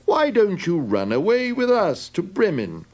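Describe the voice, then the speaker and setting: A man's voice: high-pitched, wildly swooping pitch, measured pace, darkish tone, pitched above the performer's own voice, exaggerated voice. A man voicing a friendly male donkey who coaxes the others along. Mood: coaxing. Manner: friendly, encouraging and coaxing. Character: kindly male donkey, leader of the group.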